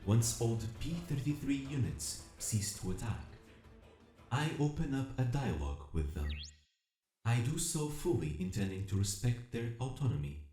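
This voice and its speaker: A man playing an earnest, solemn combat robot who longs for freedom, narrating an audio drama in measured, formal, reflective phrasing. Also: medium pitch, very animated, mellow, natural voice. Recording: echoing voice over music. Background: music.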